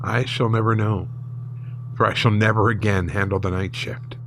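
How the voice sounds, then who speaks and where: A man giving measured, solemn first-person narration of a horror story in a dramatic scripted style. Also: lowish voice, fairly even intonation, background noise.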